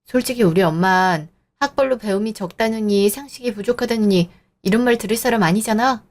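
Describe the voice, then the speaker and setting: A woman's voice: mid-range voice, brisk, darkish tone. A young woman reading an audio drama as its heroine, earnest and firm yet polite. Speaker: narrator and first-person heroine. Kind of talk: scripted audio drama narration.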